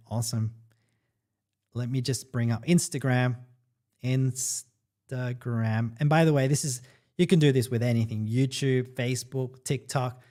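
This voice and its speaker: An upbeat middle-aged man from El Salvador talks straight to his livestream viewers as a marketing coach. His voice sits at an ordinary middle pitch, and he keeps the chat loose with "right?" and "guys". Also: darkish tone. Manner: upbeat and chatty, peppering lines with "right?" and "guys". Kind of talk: casual livestream talk to viewers.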